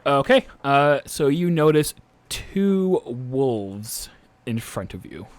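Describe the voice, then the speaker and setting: A man's voice: mid-range voice, very animated, takes it slowly, no echo. Casual man running a tabletop game. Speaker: game master.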